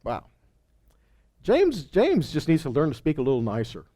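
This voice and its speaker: A man's voice: a little high, huge pitch swings, normal speed, warm tone. A man giving an informal Bible teaching, reading scripture and commenting in a conversational way with rhetorical questions and frequent check-ins.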